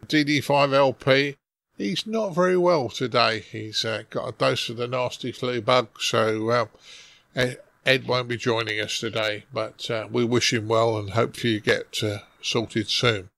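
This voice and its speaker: A man's voice: mid-range voice, moderate pace, gravelly, fairly bright tone, no echo. A man casually hosting a podcast roundtable, introducing things in an unscripted way.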